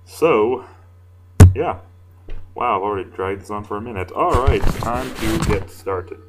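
A man with a deep voice reading fan fiction casually, informal and self-deprecating, stumbling now and then. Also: measured pace, music in the background, poor audio. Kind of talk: casual amateur story reading with asides.

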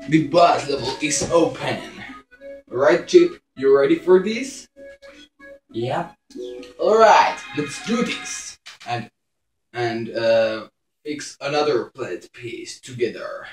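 A young man giving halting, improvised gameplay commentary as a YouTuber playing a video game. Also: mid-range voice, animated. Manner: halting, profane. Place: indoor room, recording a screen. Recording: echoey room, loud game music.

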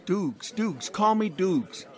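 An actor playing a brash, worldly older 1920s sports reporter with wisecracking swagger in an audio comedy drama. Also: male voice, high-pitched, expressive, slow, darkish tone. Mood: wry. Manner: wisecracking, slangy, folksy swagger.

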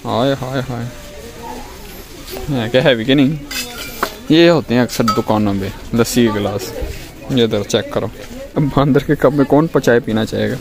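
A man's voice: medium pitch, moderate pace, creaky, long pauses. Casual young Lahori man vlogging. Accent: Lahori Pakistani. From Pakistan. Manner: jokey, slangy, exclaiming. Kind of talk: casual vlog chat, showing things to viewers. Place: outdoors in a busy street market.

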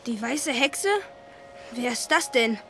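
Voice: mid-range voice, takes it slowly, a bit bright, a little hiss or hum. A performer playing a sulky, sly young boy in a German audio drama, dismissive and smug.

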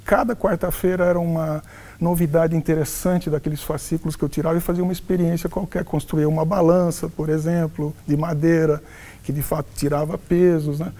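A man's voice: fairly high pitch, flat delivery, warm tone. A man in his sixties with a Paulistano accent, telling his life story in an interview, often restarting and correcting himself. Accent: Paulistano Brazilian Portuguese. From São Paulo, Brazil. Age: 60s. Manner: halting, frequent restarts and self-corrections. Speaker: interviewed professor. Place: furnished office with wooden cabinets, indoors. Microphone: lapel.

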